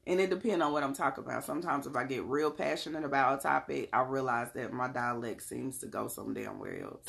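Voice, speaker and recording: A woman's voice: very deep voice, a little fast, vocal fry, fairly bright tone. A woman from North Carolina with a Southern accent, giving blunt, emphatic motivational talk and answering chat messages on a casual livestream. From Charlotte, North Carolina. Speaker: livestream host and tarot reader.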